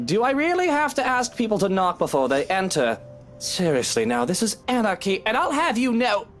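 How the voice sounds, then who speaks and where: A man's voice: high-pitched, wildly swooping pitch, normal speed, faint music behind, pitched above the performer's own voice. A man voicing a haughty, irritable rich master of the house in a pompous, exclamatory way for a story game. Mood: indignant.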